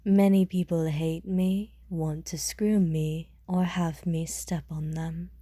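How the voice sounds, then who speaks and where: A woman playing a calm, world-weary woman who speaks politely and measuredly, with dry teasing and care, in an audio roleplay. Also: fairly low pitch, no echo. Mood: gently teasing. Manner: measured, polite, softly jaded.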